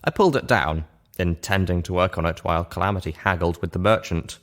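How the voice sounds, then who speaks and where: A man's voice: sits low, brisk, fairly bright tone. A man narrating a first-person story as a reader. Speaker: audiobook-style reader.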